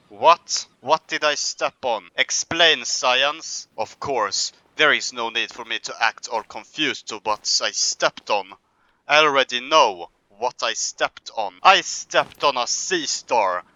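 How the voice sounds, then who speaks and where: A man's voice: medium pitch, pushed and bright, clean tone, dry recording, low-quality recording. A man commentating a survival game in a put-on accent, ranting in a pompous, mock-serious way. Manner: mock-serious, self-important narration. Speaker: gameplay commentator.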